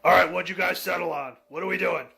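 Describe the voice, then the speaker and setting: A man plays an eager, grateful ally in a tabletop fantasy game. The voice is bright and pitched up, with an upbeat, chummy way of talking. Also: steady tone, quick pace, creaky, full character voice. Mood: brisk.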